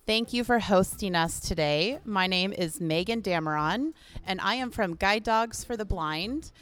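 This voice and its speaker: A woman's voice: mid-range voice, expressive, unhurried, fairly bright tone, clear, resonant tone. A woman leading a camp group, introducing them in a friendly, organized way. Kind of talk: casual introduction.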